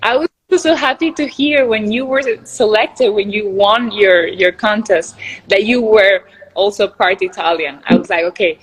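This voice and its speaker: A woman's voice: medium pitch, moderate pace, breathy edge, poor audio. A young woman from Venezuela, a native Spanish speaker, hosting a casual video-call interview in English with a chatty, upbeat manner and many restarts. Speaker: host interviewer.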